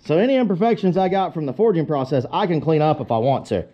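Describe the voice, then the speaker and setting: Male blacksmith demonstrator, fairly high voice, plain-spoken and off-the-cuff, recorded in a noisy workshop with power tools. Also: expressive, fast talker, warm tone, highs cut off. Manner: folksy, plain-spoken, addressing viewers as guys. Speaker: blacksmith giving a how-to demonstration. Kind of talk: casual unscripted workshop tutorial talk. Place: cluttered forge workshop.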